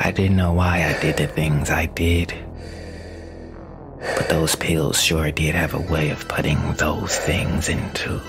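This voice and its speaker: Man playing a very deep, gravelly brooding killer. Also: level tone, music in the background, heavily acted. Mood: grim, brooding. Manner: slow, deliberate, ominous. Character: brooding masked male killer, dark and world-weary. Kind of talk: noir audio drama monologue.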